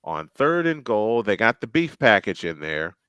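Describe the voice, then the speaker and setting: Male play-by-play caller, chatty between plays, crisp and dry. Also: on the low side, big pitch swings, moderate pace, a bit bright, no echo. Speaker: play-by-play commentator. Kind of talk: live football commentary.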